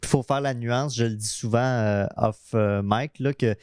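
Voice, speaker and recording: A man with a Québécois French accent, co-hosting a podcast, speaking in a measured, hesitant way with many fillers. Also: medium pitch, a little mellow, clear, resonant tone, dry recording, studio quality. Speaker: podcast co-host. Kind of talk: casual podcast conversation.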